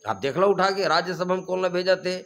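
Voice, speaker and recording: A man's voice: sits high, brisk. A man with a Chhattisgarhi accent giving fervent answers in an interview, building his points through repeated rhetorical chains and rising emphasis. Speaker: activist leader being interviewed. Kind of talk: impassioned political interview answers.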